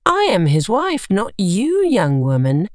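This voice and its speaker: A woman voicing a menacing blind woman, a jealous wife, in a deliberate, threatening manner for a story. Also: mid-range voice, wildly swooping pitch, darkish tone, dry recording, clean studio sound. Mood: coldly threatening.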